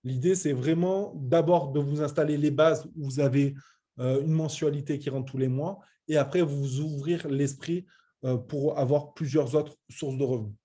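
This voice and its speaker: A man's voice: on the high side, fairly even intonation, normal speed, dark tone. A man in his late thirties giving an informal online business presentation, emphatic but often hesitating and repeating his words. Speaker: web entrepreneur presenting a training programme.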